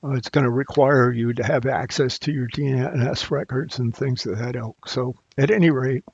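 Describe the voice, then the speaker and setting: A man presenting an unscripted technical screencast tutorial, thinking aloud in a halting way as he goes. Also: mid-range voice, steady tone, a little mellow, husky, no echo, dull audio. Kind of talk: unscripted software screencast walkthrough.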